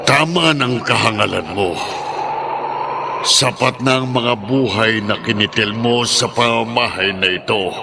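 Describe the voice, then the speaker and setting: A man playing a righteous, condemning male figure in a radio horror drama, speaking solemnly and deliberately. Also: mid-range voice, gravelly, noisy background. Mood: stern. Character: righteous male ghost of murdered servant, stern, condemning.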